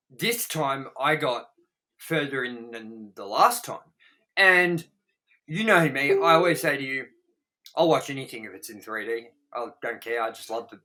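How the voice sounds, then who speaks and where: Blunt, bright-voiced man reviewing films. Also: medium pitch, poor audio. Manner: blunt, emphatic, sweary. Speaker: co-host of a movie review show. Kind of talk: casual chat, opinionated film review.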